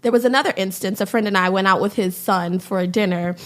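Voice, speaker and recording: A woman's voice: medium pitch, fast. A woman in her thirties from New Orleans, chatting as a podcast guest with many ums and frequent laughs. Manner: laughs often, lots of ums and likes. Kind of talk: casual podcast chat.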